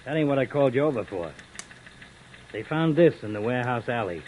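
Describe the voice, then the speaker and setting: A man plays a gruff desk sergeant in a vintage radio drama, mid-pitched and matter-of-fact. His lines stay terse and quiet over a faint hiss. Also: animated, brisk, natural voice, poor audio. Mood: blunt. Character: gruff middle-aged desk sergeant, blunt. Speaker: police sergeant. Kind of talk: 1940s radio acting.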